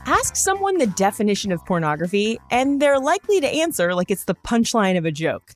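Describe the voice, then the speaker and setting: A woman's voice: mid-range voice, very animated, brisk, faint music behind. A woman hosting a podcast, reading scripted narration in a conversational storytelling style with wry, joking asides. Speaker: podcast host.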